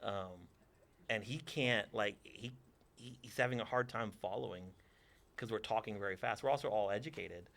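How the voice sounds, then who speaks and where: A man's voice: on the low side, moderate pace, vocal fry, a bit bright. A man in his forties from Texas, a theater teacher answering interview questions with dry, teasing humor.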